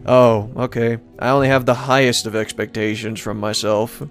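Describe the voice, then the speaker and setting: A man's voice: mid-range voice, steady tone, moderate pace, soft background music. A man hosting a let's play, casually commenting and reading aloud in a playful, rambling way as he reacts to the game.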